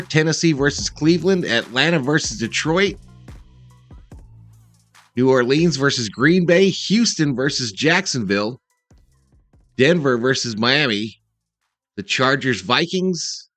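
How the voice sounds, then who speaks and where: A man's voice: fairly high pitch, animated, unhurried, fairly bright tone, soft background music. A man hosting a sports livestream, giving informal, chatty pregame commentary and talking directly to his viewers. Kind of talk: casual live pregame commentary.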